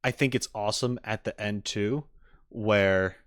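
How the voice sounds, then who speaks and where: Casting: male streamer, lowish voice, very unhurried, rambling casual reaction commentary with halting rephrasing. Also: smooth, no room sound, studio quality. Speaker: streamer reacting to game trailers.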